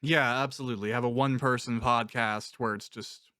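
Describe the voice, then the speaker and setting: A man's voice: mid-range voice, fairly even intonation, a little fast, sharp tone, no room sound, clean studio sound. A man hosting a casual interview, talking in an informal, rambling way as he thinks aloud. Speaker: interviewer, podcast host.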